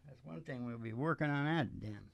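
A woman's voice: very deep voice, moderate pace, gravelly, highs cut off. A woman hosting a live music show with casual, joking banter between songs at a stage microphone. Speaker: show host introducing performers. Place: small indoor live venue.

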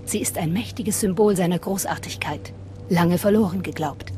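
An actress voicing a fanatical assassin and devout cult guardian in a video game, reverent and measured. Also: female voice, fairly low pitch, mellow, lightly acted. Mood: fervent. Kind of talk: dramatic video game dialogue. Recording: prominent music behind voice.

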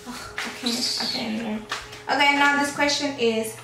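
A woman's voice: sits high, steady tone, moderate pace, heavy reverb, distant mic, noisy background. A young woman from Angola whose first language is Portuguese, casually quizzing her partner in a playful, teasing way. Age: young adult. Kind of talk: casual quiz chat. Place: small indoor room with gray walls, seated.

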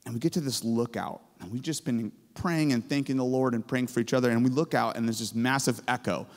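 A man in his forties, a professor giving an energetic chapel sermon on stage with a handheld mic, excitable and booming, full of storytelling. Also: fairly quick. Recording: hall reverb.

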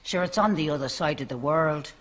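A woman's voice: low voice, brisk, a little hiss or hum, close to an everyday voice. A woman playing a chatty, earthy older Irish neighbour in a sitcom, naively frank and matter-of-fact. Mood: cheerfully frank. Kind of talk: studio sitcom acting.

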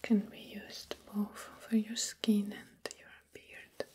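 A young woman performs soft ASMR role play in a breathy half-whisper. Her delivery is very slow and gentle, with soothing pauses between short phrases. She sits close to the microphone, attending to a client. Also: medium pitch, monotone. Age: young adult. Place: small indoor room.

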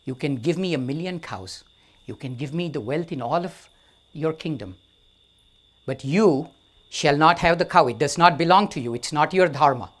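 A man playing an elderly hermit sage, soft and steady, unbending, husky low voice. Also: normal speed. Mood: firm. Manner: gentle, firm, unbending. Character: calm old male forest sage.

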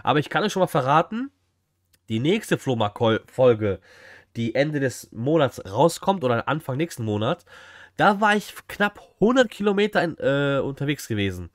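A man's voice: mid-range voice, big pitch swings, normal speed, no room sound. Guy streamer, 30s, chatting with viewers in slang, dry sound. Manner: slangy, greets and answers chat directly. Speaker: streamer reacting to a flea market video. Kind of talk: casual livestream chat and reaction. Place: small indoor room, streaming setup.

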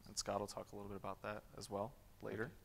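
A man's voice: fairly low pitch, fast talker, gravelly. Man answering questions on a conference stage. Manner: relaxed, many fillers and restarts. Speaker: conference keynote speaker. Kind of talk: audience Q&A at a conference. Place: large conference hall stage. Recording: long hall reverb.

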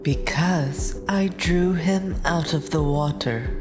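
A woman playing an earnest, composed woman of ancient times in a dramatized scripture reading, with measured delivery. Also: low-pitched, music in the background.

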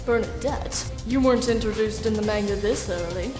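A woman's voice: medium pitch, normal speed, music in the background, lightly acted. A woman voicing a stern, impatient commander in an anime parody dub, curt and sarcastic, in echoey reverb. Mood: exasperated.

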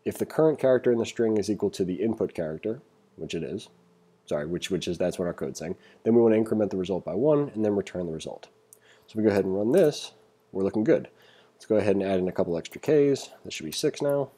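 A man with a very dark, relaxed voice and a slightly husky edge talks through code like an informal instructor. He thinks aloud and pokes fun at himself in a casual screencast style, pitched on the low side. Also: fast. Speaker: programming instructor. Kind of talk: casual screencast coding tutorial. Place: indoors at a computer, screen recording voice-over.

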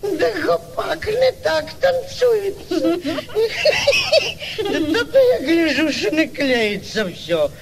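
An actor playing a merry, tipsy village Cossack who rambles, exclaims and boasts in a radio play. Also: male voice, extremely high pitch, big pitch swings, slow pace, loud music behind the voice, full character voice, low-quality recording. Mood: merry, muddled. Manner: rambling, exclaiming, repeating himself. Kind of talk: theatrical radio play acting.

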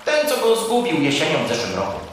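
A man playing a boastful, fussy, excitable little furry animal in a children's audio play. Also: a little high, fairly quick, very echoey, in a large hall, a little hiss or hum, rough recording. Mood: boastful, eager. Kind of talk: children's audio drama acting.

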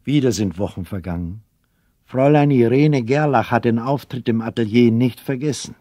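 A man's voice: medium pitch, moderate pace, warm tone, dry recording, studio quality, lightly acted. A man acting as the narrator of a children's radio play, telling the story in scripted narration. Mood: measured narration. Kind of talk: scripted audio-drama narration.

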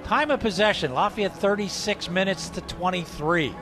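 A man's voice: sits high, normal speed. A man giving color commentary and reading game statistics on a broadcast.

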